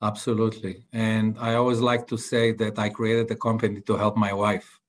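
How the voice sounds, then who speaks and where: Halting Israeli-accented man, flat low voice. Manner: halting, many filler sounds. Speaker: guest entrepreneur. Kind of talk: podcast interview.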